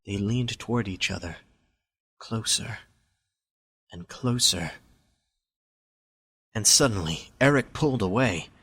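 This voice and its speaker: A man's voice: lowish voice, takes it slowly, pauses often, a bit bright, husky. A man reading scripted story narration as the narrator of an amateur audio drama.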